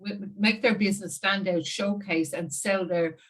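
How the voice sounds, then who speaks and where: A woman in her fifties with an Irish accent, telling her story as an interview guest in a chatty, animated way with frequent laughs. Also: medium pitch, moderate pace. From Ireland. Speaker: guest marketing strategist. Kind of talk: casual interview talk.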